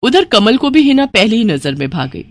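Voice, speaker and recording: A woman's voice: a little high, very animated, normal speed, no echo. A woman narrating a scripted moral story as a storyteller.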